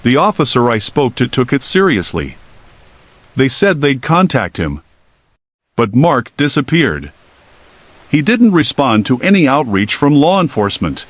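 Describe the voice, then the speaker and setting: A man's voice: medium pitch, a little slow. Man narrating horror stories over rain. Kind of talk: scripted narration. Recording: rain sounds behind the voice. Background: steady rain.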